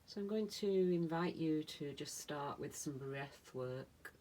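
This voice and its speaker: A woman meditation teacher leading a guided relaxation in a soothing manner, speaking in short phrases with long pauses. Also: deep voice, lively intonation, darkish tone. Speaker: yoga nidra meditation teacher.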